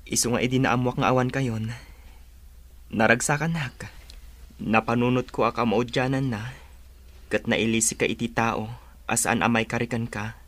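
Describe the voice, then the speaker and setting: An actor playing an earnest, devoted young suitor in a radio drama. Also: male voice, medium pitch, fairly even intonation, normal speed, pauses often, a bit bright, lightly acted. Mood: earnest, tender.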